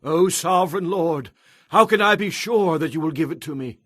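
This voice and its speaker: An actor playing an earnest patriarch in a dramatized audio Bible, speaking in a solemn, fervent, husky voice. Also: male voice, fairly high pitch, moderate pace, fairly bright tone, dry recording, studio quality. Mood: pleading. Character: Abram, earnest patriarch pleading with his God.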